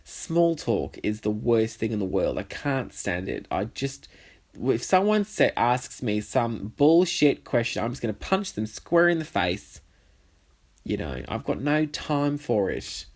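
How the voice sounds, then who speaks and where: A young man with a nasal voice, hosting a casual solo podcast with cheeky, sweary chatter. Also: a little slow. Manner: chatty, cheeky and swearing, with lively pitch swings. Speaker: podcast host. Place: bedroom.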